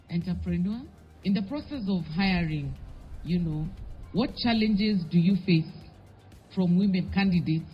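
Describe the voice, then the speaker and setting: Panel moderator, woman, casual prompting questions; muffled event audio. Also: on the low side. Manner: brief, prompting questions with fillers.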